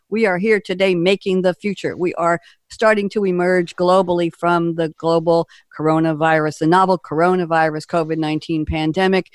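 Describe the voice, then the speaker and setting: A woman's voice: on the low side, no echo, studio quality. A woman from the United States hosting a radio talk show, chatty and upbeat, laughing often.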